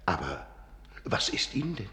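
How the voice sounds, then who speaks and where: A man's voice: low voice, big pitch swings, moderate pace, bright, forceful tone, full character voice. A man playing an eccentric older archivist in a radio play, formal and genial yet imperious. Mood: reassuring. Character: eccentric, courtly older male archivist and wizardly master. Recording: roomy echo.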